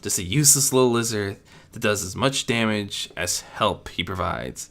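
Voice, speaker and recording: A man's voice: on the low side, normal speed, gravelly, fairly bright tone, slight background noise. A man voicing a small young male dragon, an earnest hero who is frightened yet determined, in a dramatic story reading. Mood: self-doubting. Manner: stammering, earnest self-encouragement. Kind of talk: dramatic audiobook character voice.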